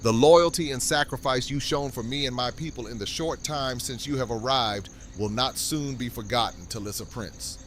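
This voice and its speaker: A man's voice: medium pitch, moderate pace, hardly any pauses, a bit bright, a little hiss or hum, lightly acted. A man playing a noble, theatrical king who speaks formally and graciously in an audio drama. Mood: solemn gratitude. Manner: grand, formal speech. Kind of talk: fan audio drama acting.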